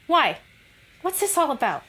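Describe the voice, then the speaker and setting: A woman's voice: high voice, big pitch swings, measured pace, pushed and bright, a little hiss or hum, heavily acted. Wary, skeptical young woman, faint ambience. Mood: challenging. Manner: challenging, uneasy. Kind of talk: naturalistic audio drama acting.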